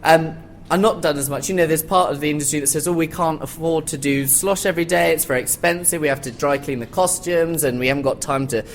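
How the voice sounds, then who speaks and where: A man's voice: a little high, fast talker, a little hiss or hum. A man speaking informally and knowledgeably as a panelist in a live theatre discussion. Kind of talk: informal expert panel talk.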